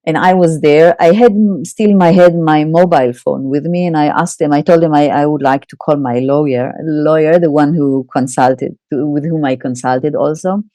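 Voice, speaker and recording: A middle-aged woman with an Israeli accent speaks as an interview guest, recounting events in a halting, candid way with small wry laughs. Her voice sits low and mellow, and the recording is close and dry with no room sound. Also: no room sound.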